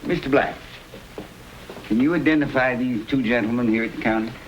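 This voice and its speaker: A man's voice: mid-range voice, brisk, dull audio, a little hiss or hum, natural voice. An actor playing a patient, helpful man fitting spectacles, explaining things in an old-time radio western.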